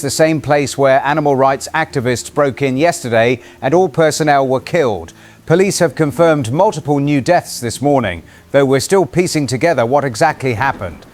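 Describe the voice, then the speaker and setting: A man's voice: on the high side, a little mellow, close to an everyday voice. A man playing a serious radio news anchor in an audio drama, delivering breaking news in a composed, formal, urgent way. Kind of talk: scripted news bulletin. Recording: light background ambience noise.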